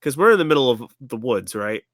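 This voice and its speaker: A woman's voice: very deep voice, animated, fast talker, no echo, studio quality. A woman playing a tabletop game, chatting casually with a blunt, teasing manner.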